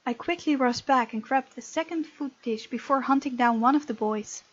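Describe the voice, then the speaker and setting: A woman narrates a visual novel playthrough, slipping into casual asides. Her voice sits fairly high, and the home recording is dry and slightly muffled. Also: normal speed, no room sound. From Netherlands. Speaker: gamer reading a visual novel aloud and voicing the heroine.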